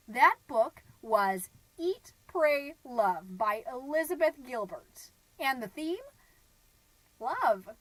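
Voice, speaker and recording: A woman's voice: fairly high pitch, fairly bright tone, no room sound, poor audio. A woman playing a middle-aged suburban stay-at-home mom, gossipy and naive, rambling through a comedic podcast monologue with folksy, dramatic emphasis. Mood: perky, flustered. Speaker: podcast host.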